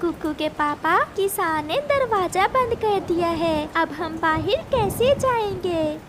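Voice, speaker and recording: A woman's voice: very high voice, animated, slow, voice pushed up, full character voice. A woman voicing a caring, anxious cartoon mother bird in a motherly manner, with noise behind her. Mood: concerned. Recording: clear background noise.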